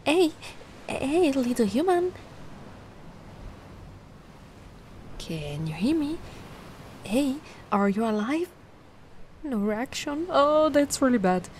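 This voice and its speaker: A cute, anxious giant mermaid acted by a woman in an ASMR roleplay, with faint ambient noise behind her. She is flustered and tender, cooing at someone tiny. Her delivery is unhurried and swings widely in pitch. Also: big pitch swings, a little mellow, full character voice. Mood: worried. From Italy.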